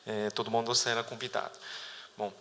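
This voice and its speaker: Chinese-accented man speaking Portuguese, bright tone. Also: on the low side, little pitch movement, a little fast. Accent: Chinese, with a picked-up Rio de Janeiro lilt. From China. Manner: hesitant, many restarts and fillers. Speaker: company executive, panel speaker. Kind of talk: conference talk. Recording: long hall reverb.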